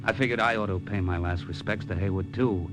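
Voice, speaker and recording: An actor playing a cynical, tough-talking American adventurer who narrates in a wry, slangy, clipped radio drama style. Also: male voice, sits low, steady tone, a little fast, natural voice. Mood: sardonic. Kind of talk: 1950s radio drama acting, hard-boiled narration. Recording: old radio recording with music behind.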